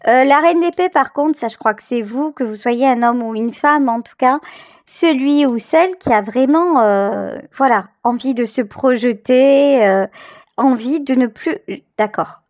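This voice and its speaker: A woman with a fairly high voice reads tarot in a close, dry sound. She speaks straight to the viewer as host, improvising as she goes. Her phrasing is conversational and searching, with words repeated for emphasis. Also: unhurried, a little mellow, no room sound. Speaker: tarot reader, channel host. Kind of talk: intuitive tarot reading, improvised commentary addressed to the viewer.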